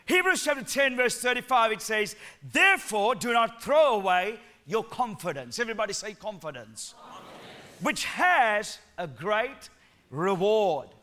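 High bright-voiced preacher, Sri Lankan-Kiwi accent. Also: male voice, unhurried. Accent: Sri Lankan mixed with New Zealand. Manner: energetic, joking, calls for congregation responses. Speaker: guest preacher. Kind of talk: lively sermon with humor. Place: church with congregation.

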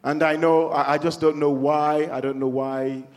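A man's voice: fairly high pitch, moderate pace. A man with a Nigerian accent, preaching in a church and telling funny stories to the congregation. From Nigeria (born in London). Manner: humorous storytelling, animated, working the congregation. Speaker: pastor. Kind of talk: sermon. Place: church hall.

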